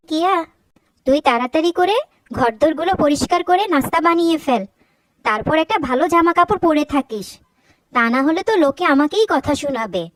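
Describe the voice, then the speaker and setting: A woman voicing a scheming, sharp-tongued middle-aged mother in a cartoon, with an emphatic, scolding way of talking. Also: high voice, dry recording, poor audio. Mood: bossy. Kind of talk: Bengali cartoon voice acting.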